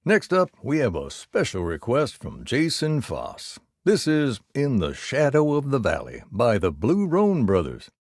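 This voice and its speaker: A man's voice: mid-range voice, huge pitch swings, measured pace, hardly any pauses, dry recording. A wry, easygoing cowboy disc jockey for a video game's country radio station. He has a folksy Western American drawl that rises and dips dramatically as he announces songs. The sound is dry and studio-close, with no room on the voice. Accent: American Southern or Western. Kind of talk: scripted country radio DJ patter.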